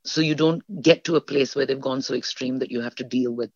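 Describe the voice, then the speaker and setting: A woman born in India and raised between the UK and India, speaking as an interview guest and telling stories in an animated, emphatic way. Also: fairly quick, dry recording. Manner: animated, emphatic storyteller with lively pitch jumps. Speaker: guest, stage performer. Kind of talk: interview conversation. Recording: dull, dry sound.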